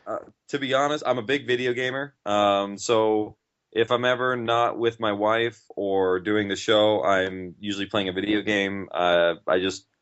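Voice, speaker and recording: A man's voice: fairly low pitch, steady tone, a little slow, fairly bright tone, clear, resonant tone. A man talking casually as an interview guest, in an informal, rambling and enthusiastic way. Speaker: interview guest, podcast writer and director. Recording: dull, lo-fi audio.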